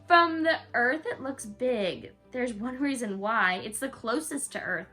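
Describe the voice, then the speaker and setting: A woman's voice: on the high side, lively intonation, a little slow, sharp tone, faint music behind. A woman reading a rhyming bedtime story aloud to a young child, in a sing-song way with casual asides.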